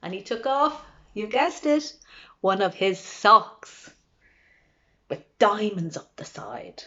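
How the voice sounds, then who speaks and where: Woman, expressive storytime reader, very lively pitch, questions to little listeners, slightly dull lo-fi recording. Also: slow. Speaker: librarian reading a picture book to children. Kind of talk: animated children's storytime reading.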